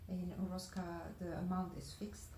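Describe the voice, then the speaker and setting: A woman guest from the Netherlands answering interview questions in a measured way, with frequent hesitations. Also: lowish voice, flat delivery, warm tone, a little hiss or hum. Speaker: guest, foundation founder. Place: room at a wooden table. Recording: roomy room sound.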